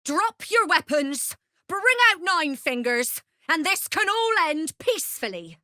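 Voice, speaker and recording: Shrewd, menacing female smuggler boss, animated delivery. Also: high-pitched, a little slow, dry recording, studio quality, heavily acted. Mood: commanding, threatening. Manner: wry, self-assured, coolly menacing. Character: shrewd, mercenary female Zhentarim smuggler boss, threatening and wry. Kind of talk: video game character voice lines.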